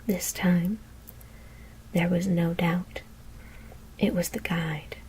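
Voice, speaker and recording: A woman whispers a scary story in a hushed, deliberate ASMR narration. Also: lowish voice, level tone, vocal fry, slight background noise.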